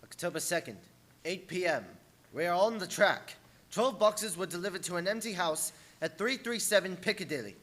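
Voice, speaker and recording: Male actor as tense young diarist, high-pitched, very lively intonation, clipped, earnest, radio play. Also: moderate pace. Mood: determined. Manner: clipped, dramatic diary reporting. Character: earnest, tense young husband keeping a journal. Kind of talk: live radio play acting.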